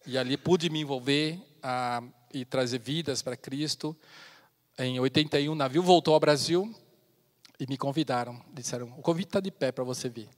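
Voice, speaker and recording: A man in his fifties from China, giving an earnest personal testimony as a missionary speaker in a church, with pauses and restarts. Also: on the high side. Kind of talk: testimony sermon. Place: church sanctuary. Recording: long church hall reverb.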